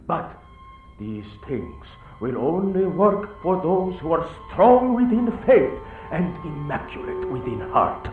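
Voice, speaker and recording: A solemn, defiant priest played by a man in a radio horror play. He declaims slowly with big rises and falls, heard from a distance on a tinny recording. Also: a little high. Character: grave male priest, fatalistic and defiant. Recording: tinny band-limited audio, distant, echoey.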